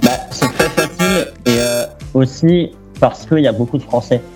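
A young man from France, a guest talking casually in an interview, relaxed and chatty with lots of fillers and restarts. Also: mid-range voice, moderate pace, loud music behind the voice.

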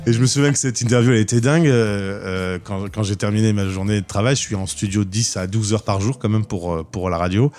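Male radio host, low voice, eager and chatty in a studio, stumbles over words. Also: steady tone, fast talker, few pauses, darkish tone. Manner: enthusiastic, stammering restarts. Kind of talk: casual interview chat.

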